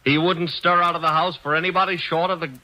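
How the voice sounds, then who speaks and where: A man's voice: fairly high pitch, level tone, fast talker, pushed and bright, poor audio. A man playing a teasing, wisecracking assistant to a detective in an old radio drama. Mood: wry. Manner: breezy, teasing. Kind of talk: 1940s radio acting.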